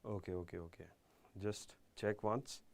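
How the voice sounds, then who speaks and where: A man with an Indian accent giving a live online exam-prep engineering lecture, speaking deliberately and emphatically and repeating key phrases. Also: fairly low pitch, fairly even intonation, vocal fry.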